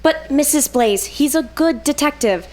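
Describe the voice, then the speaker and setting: Noir drama sidekick, female performer: young woman, high-pitched, plain-spoken, chatty, fiercely loyal. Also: expressive, unhurried, a little mellow. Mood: placating. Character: loyal, outspoken 21-year-old detective's gal assistant Minnie. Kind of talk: 1940s noir radio drama acting.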